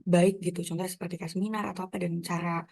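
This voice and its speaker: A woman's voice: on the low side, flat delivery, fast, darkish tone, breathy edge. A young woman law student asking interview questions, hesitant with fillers. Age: young adult.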